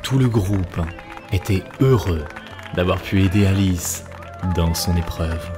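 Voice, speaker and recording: Very deep male cartoon narrator. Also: slow pace, a crowd in the background. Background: clear background music.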